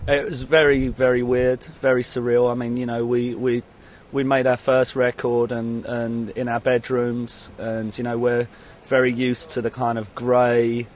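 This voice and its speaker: A man's voice: mid-range voice, flat delivery, a little slow, a little mellow. A man giving a casual interview as a musician, rambling and hesitant, often saying "you know". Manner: rambling, hesitant, peppered with 'you know'.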